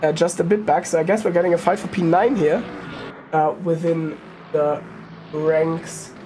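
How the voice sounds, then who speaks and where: A woman's voice: lowish voice, normal speed, warm tone, a little hiss or hum. A woman giving casual live commentary on a sim race, thinking aloud and correcting herself as she goes. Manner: informal, thinking aloud, hesitant self-correcting.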